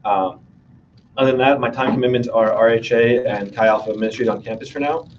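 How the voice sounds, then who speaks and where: A young man from New Jersey speaks earnestly to a student meeting, weighing his words with small pauses. He sounds distant from the microphone, and the recording is a little dull. A faint hiss sits under his voice. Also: mid-range voice. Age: young adult. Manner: earnest, measured, slightly halting. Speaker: student senate candidate. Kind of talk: speech to a meeting. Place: meeting room. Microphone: room mic from afar.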